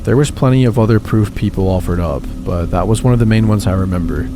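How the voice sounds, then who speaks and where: Low-voiced man reading horror stories. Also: fast talker, over music. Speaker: channel host reading submitted stories. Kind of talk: scripted horror story narration.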